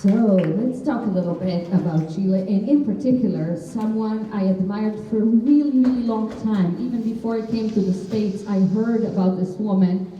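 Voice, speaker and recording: A woman, hosting a live concert and introducing songs and composers in a relaxed, explanatory way. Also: mid-range voice, moderate pace, hardly any pauses, slight background noise. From Israel. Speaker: concert host and bandleader. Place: library concert hall. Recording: echoey hall, distant dull mic.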